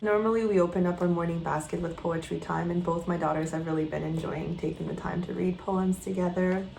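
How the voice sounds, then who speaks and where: A woman in her thirties from Quebec, a homeschooling mother giving a casual, gentle update that sometimes rambles while she searches for words. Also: lowish voice, fairly even intonation, fairly quick, vocal fry, slight background noise, low-quality recording. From Quebec, Canada.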